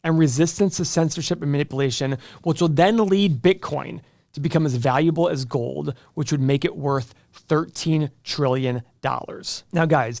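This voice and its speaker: A man's voice: on the high side, darkish tone, no room sound, studio quality. An American man hosting an investing channel, giving opinionated finance commentary in an emphatic, argumentative way straight to the viewer.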